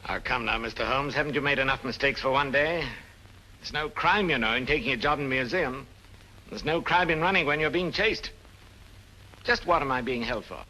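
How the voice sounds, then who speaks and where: Husky, insolent crook. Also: male voice, mid-range voice, a little fast, sharp tone, low-quality recording. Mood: taunting. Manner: mock-polite, taunting. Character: insolent, mocking English criminal in custody. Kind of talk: vintage detective film acting.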